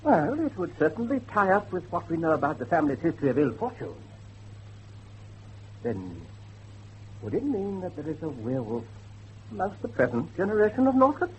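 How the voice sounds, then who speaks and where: An actor playing an earnest, excitable scholar of werewolves, professorial and halting, with a husky voice in a horror drama. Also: male voice, a little high, muffled recording, slight background noise. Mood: probing. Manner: professorial, hesitant, halting emphasis. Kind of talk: dramatic horror dialogue acting.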